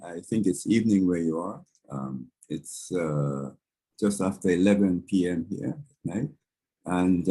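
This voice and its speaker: A man from Canada welcomes an online audience in a very low, husky voice. His tone is mellow and dark, and he pauses often on soft ums. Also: poor audio. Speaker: panel co-host. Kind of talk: casual online panel talk.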